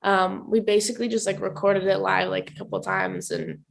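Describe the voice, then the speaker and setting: A young woman with a soft, raspy voice, chatting casually as a singer guest in a radio interview, full of "like" fillers. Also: mid-range voice, very animated, a little fast. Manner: chatty, lots of "like" fillers, giggly.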